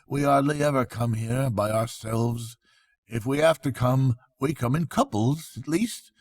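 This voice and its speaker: A man's voice: no echo, studio quality. A man doing a kindly brave water rat. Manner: reassuring, fatherly, slightly higher than the narration.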